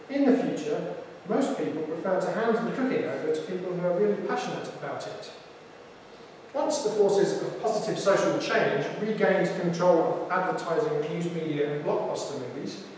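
A man's voice: on the high side, a little mellow, slight background noise, lightly acted. A man voicing a chatty, time-travelling talking fridge computer, with a rich accent and a smug, explanatory manner. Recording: echoey hall from far off.

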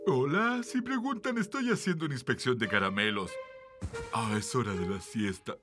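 A man's voice: medium pitch, lively intonation, normal speed, loud music behind the voice, natural voice. A man voicing a sleepy, good-natured, bumbling father cat in a cartoon, hesitant and exaggerated, with a Latin American accent. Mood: drowsy. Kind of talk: children's cartoon voice acting.

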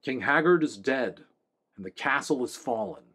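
A stern young king who declaims his words with authority, as a man reads him. Slightly raised in pitch, firm and commanding, a storybook ruler. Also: lively intonation, moderate pace, sharp tone, breathy edge.

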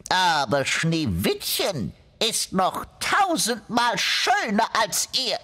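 A male actor voicing a bumbling, servile magic mirror, hesitant and drawn-out, in a children's fairy-tale radio play. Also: high-pitched, wildly swooping pitch, sharp tone, breathy edge, full character voice. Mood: hesitant, reluctant.

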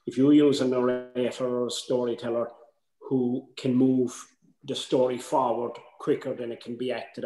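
Older man in an echoey room. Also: mid-range voice. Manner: explanatory, conversational. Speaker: workshop co-presenter. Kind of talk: informal advice to writers. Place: indoors, room at home on video call. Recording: echoey room, slightly noisy video call.

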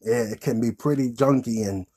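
A man's voice: medium pitch, moderate pace, mellow, breathy edge. A man in his thirties chatting casually and off the cuff as the host of a puppet show. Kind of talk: casual improvised chat with a puppet. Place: small indoor room with green backdrop.

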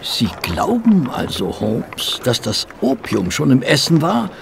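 An actor playing a bewildered, good-natured doctor sidekick in a German radio play, speaking hesitantly and with astonishment. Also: male voice, medium pitch, huge pitch swings, unhurried, gravelly, very noisy, exaggerated voice. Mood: catching on. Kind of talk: German audio drama acting.

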